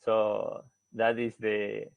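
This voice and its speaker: A man's voice: fairly low pitch, completely flat delivery, drawn-out words, gravelly, dry recording, lo-fi sound. A man with an Argentine Spanish accent, a native Spanish speaker, giving a researcher's seminar talk with many hesitant fillers and restarts. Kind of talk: academic presentation.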